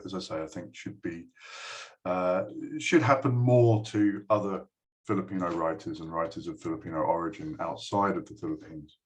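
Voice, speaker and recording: Hesitant man, low voice. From United Kingdom. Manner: hesitant, many ums and restarts. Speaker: guest speaker addressing a school. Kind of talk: recorded video address.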